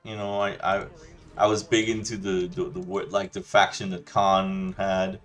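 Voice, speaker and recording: Guy ranting casually, low voice, rambly and chill, lots of you know. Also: little pitch movement, moderate pace, fairly bright tone. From Denmark. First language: Danish. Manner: rambling, loose and chatty, many restarts and "you know". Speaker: gaming channel host. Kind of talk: casual rant over gameplay.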